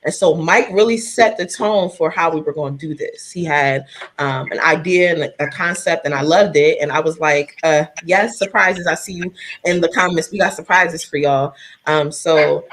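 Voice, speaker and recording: A soft-voiced woman singer from New Jersey, chatting casually on a live video stream, laughing often and talking straight to her viewers. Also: sits low, moderate pace, a little hiss or hum.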